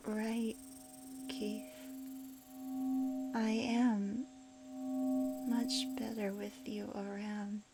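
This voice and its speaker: Hypnotized damsel, female actor: vulnerable and devoted, dazed murmur, very slow and fairly flat, audio drama. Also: medium pitch, over loud music. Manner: hesitant, dazed, breathy murmuring. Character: submissive, vulnerable young woman entranced by serpent. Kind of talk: scripted fan audio drama acting.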